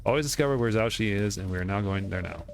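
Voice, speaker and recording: Fast-talking man with a low voice. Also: creaky, music in the background. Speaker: let's play streamer. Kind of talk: reading game dialogue aloud during playthrough.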